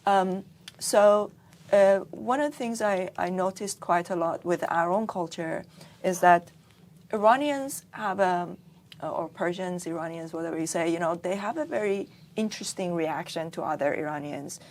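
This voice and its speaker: Woman, thirties to forties, from Iran, lowish pitch, podcast interviewer, conversational delivery. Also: moderate pace, a little mellow.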